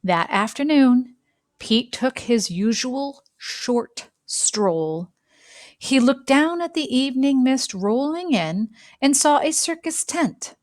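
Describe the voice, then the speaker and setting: Unhurried woman reading a children's storybook. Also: high-pitched, animated, no room sound, studio quality. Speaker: storybook reader. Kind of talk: expressive children's read-aloud narration.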